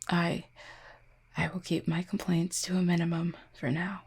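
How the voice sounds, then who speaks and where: A woman playing an injured, proud and guarded cupid in an intimate audio roleplay, speaking formally and wryly in a husky, pained voice with weary sighs. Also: on the low side, flat delivery, heavily acted. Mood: weary, polite. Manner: formal, wry wording with weary sighs and hesitant pauses. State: in pain, strained.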